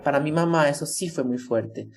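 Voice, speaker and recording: A speaker from Venezuela, reflecting in a measured way on a family experience. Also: medium pitch, vocal fry, darkish tone, faint music behind. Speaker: interviewee. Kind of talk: personal testimony.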